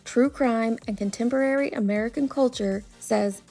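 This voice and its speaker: A woman giving scripted commentary in the style of an online video host, summarising an article in a measured, earnest way. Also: a little high, mellow, soft background music. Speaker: true crime YouTube commentator.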